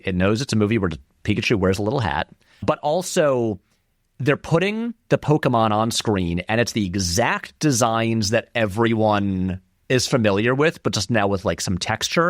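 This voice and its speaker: A man's voice: medium pitch, no echo, clean studio sound. A man giving casual, conversational commentary as an interview guest, with restarts and lots of filler words. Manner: animated, acts out short quotes in passing; filler-heavy, frequent "like" and restarts. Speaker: interview guest, film video essayist.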